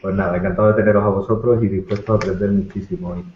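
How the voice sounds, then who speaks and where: Hesitant host on tinny echoey line. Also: male voice, low-pitched, little pitch movement, very fast, slight background noise. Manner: hesitant, restarts phrases. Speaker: host, moderator. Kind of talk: moderating an online panel. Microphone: video call.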